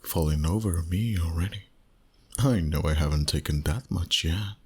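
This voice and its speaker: A man voicing a flirty, dominant vampire boss in an intimate, teasing ASMR roleplay, soft and breathy. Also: low-pitched. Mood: tender reassurance. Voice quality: breathy, husky, often near a whisper. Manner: slow, soft, teasing seduction.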